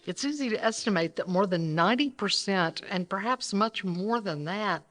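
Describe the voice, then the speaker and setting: Female co-presenter, average pitch, conversational, explanatory, semi-scripted live introduction. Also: lively intonation, moderate pace, a bit bright. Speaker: co-presenter of a radio re-creation troupe. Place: live stage at a banquet.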